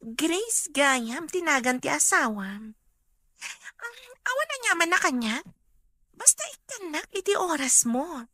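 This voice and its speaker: Actress as a bright, high-pitched pleading Ilocano woman. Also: sing-song, unhurried, no echo, heavily acted. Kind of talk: Ilocano radio drama acting.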